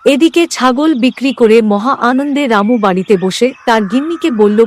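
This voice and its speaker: Female storyteller for cartoon horror narration, slightly high register, some background nature noise. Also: normal speed.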